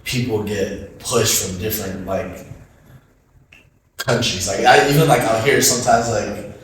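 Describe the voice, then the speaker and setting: A young man with a lowish voice talks loosely and rambles as an interview guest, filling his sentences with "like". The room is very echoey and he sits well back from the mic. Faint ambience hums behind him. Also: unhurried, slight background noise. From Hawaii, born in Arizona. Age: young adult. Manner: relaxed, rambling, heavy use of "like". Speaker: guest, student athlete. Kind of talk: casual interview chat. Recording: roomy, mic far off.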